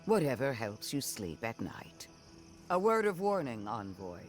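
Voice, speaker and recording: A stern, menacing military commander, swooping delivery. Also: male voice, medium pitch, big pitch swings, unhurried, soft background music. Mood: bitter, threatening. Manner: formal, menacing, oratorical. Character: stern, contemptuous male military commander, threatening and self-righteous. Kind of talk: dramatic game cutscene acting.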